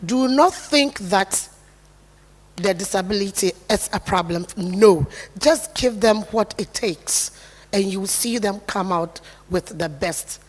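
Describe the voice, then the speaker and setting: A woman delivers a corporate speech slowly and deliberately, her voice low and soft. She speaks in an earnest, declarative way. Her words ring with a long reverb off a large hall. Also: lively intonation.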